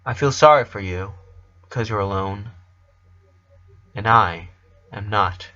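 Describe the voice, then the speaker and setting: Young mare singer, voiced by a man, earnest, resolute, defiant, slow storybook delivery, slightly dull sound. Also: fairly low pitch, pushed and bright, no room sound. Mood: fed up, resolute. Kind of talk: storybook character reading.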